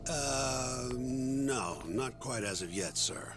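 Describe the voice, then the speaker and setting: An actor playing a cocky, casual partner in a detective game dub. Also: male voice, mid-range voice, animated, extremely slow, music in the background. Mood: placating. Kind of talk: English video game dub acting.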